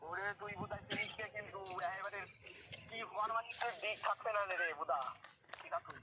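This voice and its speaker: A man's voice: high voice, fairly even intonation, a little fast. A man talking in a phone conversation, on the receiving end of a call over a noisy line. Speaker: prank call recipient. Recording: noisy telephone line with clicks.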